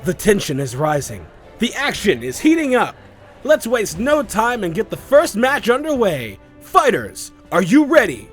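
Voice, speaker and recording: A man playing a theatrical, excitable tournament announcer, delivering dramatic arena commentary in a visual novel. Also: sits high, unhurried, soft background music, exaggerated voice. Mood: hyping. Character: showy, excitable male fight tournament announcer.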